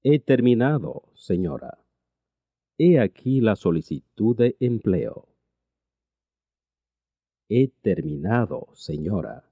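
A man teaching a language lesson, reading each phrase slowly and repeating it twice. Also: lowish voice, very animated, no echo, narrow, tinny audio. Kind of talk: slow repeated translation drills.

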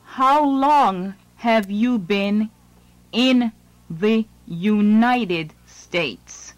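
Female lesson narrator, very slow, measured, dry studio sound. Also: medium pitch, no echo. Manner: slow, deliberate, phrase by phrase. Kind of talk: scripted lesson reading.

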